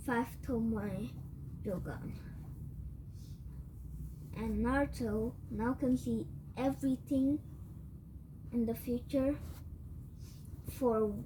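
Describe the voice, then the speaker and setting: A woman with a tiring, cracking voice tells an improvised fan story, rambling, correcting herself and clearing her throat often. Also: a little high, mellow, a little hiss or hum, poor audio. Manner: rambling, with frequent self-corrections. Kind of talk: improvised fan-fiction retelling.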